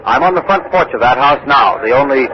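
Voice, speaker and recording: An actor playing a radio field reporter giving a formal, precise live-news report. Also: male voice, medium pitch, lively intonation, brisk, natural voice. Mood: intent, factual. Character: measured male radio reporter at historic scene. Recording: muffled old radio, faint noise.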